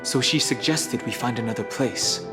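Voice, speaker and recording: A man's voice: mid-range voice, moderate pace, loud music behind the voice, lightly acted. A man voicing a level-headed young man who speaks in a terse, matter-of-fact way. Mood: measured. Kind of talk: video game voice acting.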